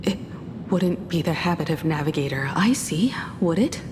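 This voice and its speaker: An actress playing a composed, perceptive young woman in a video game, speaking in a measured, cool and serious way. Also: low-pitched, animated, noisy background. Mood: cool, reproachful. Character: composed, perceptive young woman confronting her pretend father. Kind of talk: dramatic video game dialogue.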